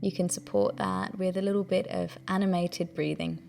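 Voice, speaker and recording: A woman leading a guided breathing meditation, giving gentle, soothing instructions in a calm, unhurried way. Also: on the low side, vocal fry, faint music behind.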